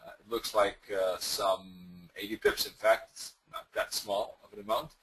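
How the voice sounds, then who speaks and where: A man presenting a trading webinar in a loose, conversational way, losing his voice and clearing his throat now and then. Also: fairly low pitch, lively intonation, moderate pace, fairly bright tone, low-quality recording. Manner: loose, conversational, with many fillers and restarts. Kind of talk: screen-share webinar explanation.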